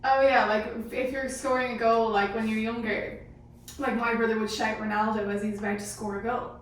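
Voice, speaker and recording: A woman's voice: a little high, flat delivery, fairly quick. A woman sharing anecdotes in a casual group chat. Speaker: panelist in a sports team discussion. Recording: very echoey room, distant mic, faint noise.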